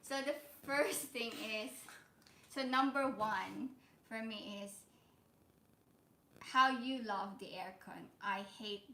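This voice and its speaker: A softly spoken woman co-hosting a casual live stream from home. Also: on the high side, slow, long pauses, roomy sound, slight background noise. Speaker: vlog co-host. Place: indoors at home.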